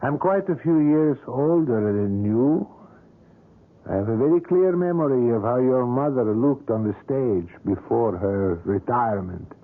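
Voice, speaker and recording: An actor playing an obsessive older male sculptor in a vintage radio drama, speaking in a smooth, courtly, persuasive way. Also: medium pitch, expressive, a little slow. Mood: courtly, insistent. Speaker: sculptor character. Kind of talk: 1970s radio drama acting. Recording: tinny lo-fi old radio broadcast.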